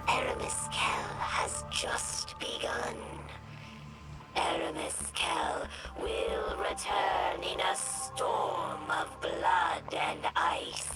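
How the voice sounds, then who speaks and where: An actress voicing a zealous, menacing alien priestess in a video game, hissing and raspy, in ominous incantations. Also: female voice, lowish voice, loud music behind the voice, low-quality recording. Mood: fervent, ominous. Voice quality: breathy, raspy hissing whisper. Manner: slow, ominous, incantatory proclamations. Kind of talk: dramatic video game acting.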